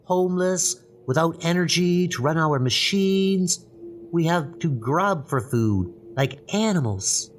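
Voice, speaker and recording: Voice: medium pitch, animated, slow, a little hiss or hum. An actor playing a solemn, distressed inhabitant of a doomed city in a dramatized comic book audio drama. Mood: grave dismay. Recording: loud music under the voice.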